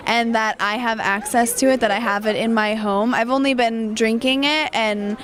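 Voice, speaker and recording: A woman's voice: fairly high pitch, fairly even intonation, normal speed. A woman from Southern California gives an earnest, rambling testimonial with many restarts.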